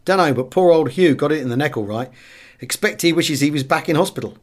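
A man's voice: a little high, fast, lightly acted. A man with a British accent voicing a chatty, slangy RAF fighter pilot in an audiobook memoir. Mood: offhand. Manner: rambling, breezy wartime slang.

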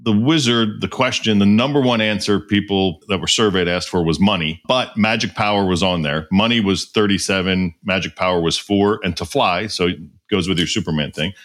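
A man hosting a podcast, asking questions and running a quick quiz game in a loose, repetitive way. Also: low voice, fairly bright tone. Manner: loose, repeats himself, many fillers. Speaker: podcast host.

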